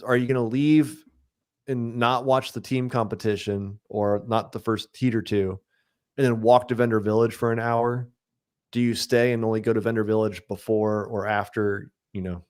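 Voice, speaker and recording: A man hosting a casual podcast chat, thinking out loud about an upcoming event. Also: lowish voice. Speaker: podcast host.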